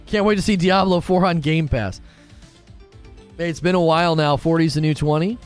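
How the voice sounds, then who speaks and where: A man in his forties hosting a casual gaming livestream, musing aloud in a relaxed, conversational way as he answers chat. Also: a little high, normal speed, soft background music. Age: 40s. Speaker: gaming channel host reading live chat.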